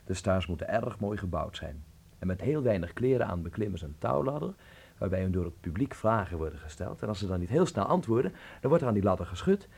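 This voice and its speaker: Wry, husky young Dutchman. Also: on the low side, animated, fast talker, a little mellow, full character voice. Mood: teasing. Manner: wry, articulate. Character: young, ironic, sensitive Dutchman living in 1930s Berlin. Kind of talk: radio drama acting and narration.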